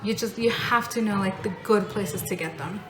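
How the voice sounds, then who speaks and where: A woman's voice: mid-range voice, normal speed. A young woman with an American accent, vlogging in a casual, rambling way with lots of fillers. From born in Mexico, raised in North Carolina. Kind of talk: casual talking-head vlog. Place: home in Mexico. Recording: echoey room with noticeable background noise.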